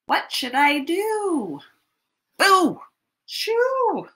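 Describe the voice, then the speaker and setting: A woman's voice: very high voice, wildly swooping pitch, extremely slow, fairly bright tone, voice pushed up, full character voice. A woman voicing a flustered small child in a picture-book read-aloud, exclaiming and grudgingly giving in. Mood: startled, alarmed. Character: flustered small child shooing animals off the porch.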